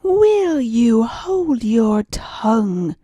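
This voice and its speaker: A woman's voice: fairly high pitch, very animated, very slow, dark tone, breathy edge, no echo, full character voice. A woman voicing a sly, greedy female cat in a storybook, with a smug, coaxing manner. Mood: menacing.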